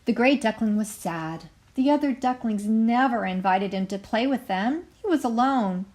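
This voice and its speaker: A woman teacher reading a story aloud to young children, expressive and carefully enunciated, pausing to explain. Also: on the high side.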